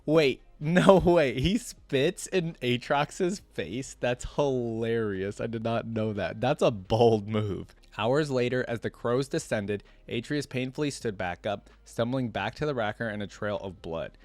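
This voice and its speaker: A man with an average-pitched voice hosts a reaction video. He moves between reading text aloud and casual, informal commentary, often checking in with short tag questions. The sound is dry, with hardly any room on it. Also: measured pace, few pauses, darkish tone, no room sound, faint music behind. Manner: informal, often checks in with tags like "right?" and "yeah?". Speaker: host of a game lore reaction video.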